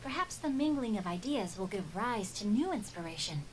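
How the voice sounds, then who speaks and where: A woman's voice: mid-range voice, big pitch swings, moderate pace, a little hiss or hum, close to an everyday voice, rough recording. A woman voicing a bookish, self-assured teenage boy poet in a video game, with formal, flowery delivery. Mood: courteous. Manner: eloquent, formal, flowery phrasing. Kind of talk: English video game dialogue acting.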